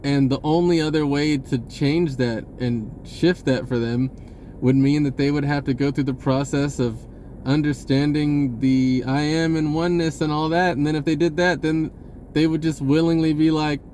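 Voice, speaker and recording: A man with a fairly high-pitched voice delivers a casual, unscripted monologue. He speaks in short, broken phrases and often circles back on himself as he goes. Some background noise sits faintly behind his voice. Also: fairly even intonation, moderate pace, warm tone. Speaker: vlogger giving a personal message.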